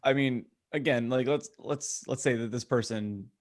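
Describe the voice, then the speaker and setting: A man in a casual podcast chat, halting as he thinks aloud with lots of filler words. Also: medium pitch.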